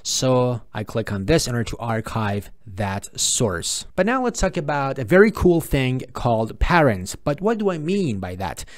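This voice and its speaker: A man presenting an unscripted software tutorial, explaining step by step, often repeating and correcting himself. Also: mid-range voice, big pitch swings, moderate pace, hardly any pauses.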